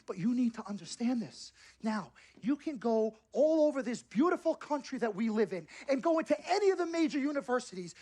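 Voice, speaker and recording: A woman playing a slick, fervent sports agent in a game cutscene, insistent and emphatic, repeating points like a salesperson. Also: sits high. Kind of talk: dramatic persuasive acting in a game cutscene.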